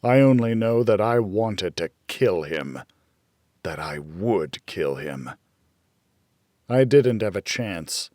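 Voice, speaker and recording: A man reading first-person fiction as an audiobook narrator, in a measured, dramatic storytelling manner. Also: fairly low pitch, animated, a little mellow, no room sound, studio quality.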